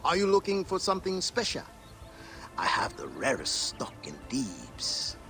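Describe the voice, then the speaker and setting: A man voicing a nervous, sly antiquities merchant in a game, fawning and dropping to secretive hushes. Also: on the high side, wildly swooping pitch, measured pace, soft background music, full character voice.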